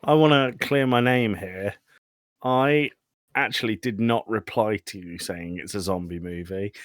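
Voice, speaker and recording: Dry, lower-voiced male podcast host. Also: measured pace, no echo. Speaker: podcast host steering the discussion. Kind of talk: casual film discussion.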